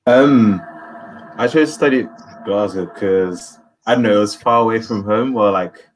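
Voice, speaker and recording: A young man answers in a very deep, low voice. He sounds laid-back and loose, filling his answers with you know and like. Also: unhurried, slight background noise, poor audio. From London. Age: young adult. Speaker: undergraduate student panelist. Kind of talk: casual Q&A answers.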